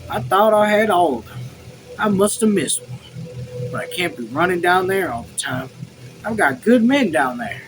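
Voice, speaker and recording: A man's voice: high voice, very animated, normal speed, background noise, voice pushed up. A man voicing a stern, practical army officer from a novel, in a scolding manner. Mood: defensive.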